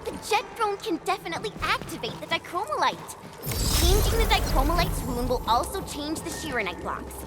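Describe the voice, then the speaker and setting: A woman playing an excitable, curious and eager young female squad member in a video game. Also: extremely high pitch, fairly quick. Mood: alert, surprised. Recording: loud game music behind the voice. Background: loud game soundtrack.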